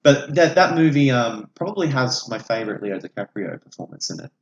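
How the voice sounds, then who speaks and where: A man's voice: mid-range voice, lively intonation, brisk, dull audio. A man, an opinionated podcast host who swears freely while casually discussing films. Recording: echoey room, audible background noise.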